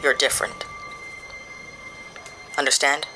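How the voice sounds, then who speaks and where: A woman's voice: extremely low pitch, level tone, slow pace, music in the background. A woman playing a blunt, sarcastic space adventurer who trades insults in an audio drama. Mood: defensive. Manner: curt and sarcastic, snaps insults.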